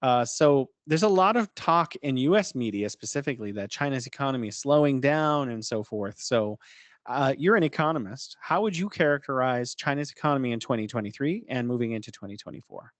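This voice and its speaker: A man's voice: medium pitch, expressive, fairly quick, no echo. Hesitant male podcast host, dry sound. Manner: friendly, hesitant, with many fillers. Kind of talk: interview hosting.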